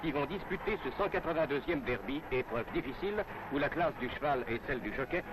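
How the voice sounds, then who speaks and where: A man's voice: mid-range voice, expressive, a little fast, background noise. A man narrating a scripted 1960s French newsreel in a formal announcer's manner, on a vintage film soundtrack. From France.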